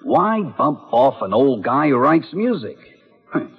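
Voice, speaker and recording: A wisecracking police inspector in an old radio mystery. He is blunt and slangy, with a gravelly voice that rises and falls freely. The recording is dull and dated. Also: mid-range voice, sing-song, unhurried, exaggerated voice. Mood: wry, offhand. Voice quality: gravelly, husky. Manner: slangy, offhand. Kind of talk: 1940s radio drama acting.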